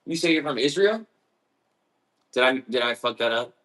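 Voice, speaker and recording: A man's voice: medium pitch, lively intonation, moderate pace, a bit bright, rough recording. A young man chatting casually as he bakes, rambling and laid-back, with lots of slang. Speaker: home baker. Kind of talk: casual chat while baking.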